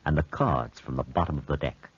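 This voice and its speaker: An actor playing an uncanny, watchful double, measured and quietly knowing, in a 1940s radio horror drama. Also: male voice, very deep voice, breathy edge. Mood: knowing, ominous. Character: ominous, uncanny calm male double, watchful conscience. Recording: muffled 1940s radio broadcast recording.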